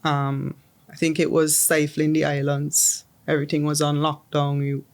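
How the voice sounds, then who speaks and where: A woman's voice: deep voice, completely flat delivery, normal speed, darkish tone. A young adult woman from the Caribbean, chatting as a guest in an interview, often restarting her sentences with um. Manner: chatty, restarts with um and repeated words. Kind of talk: casual interview.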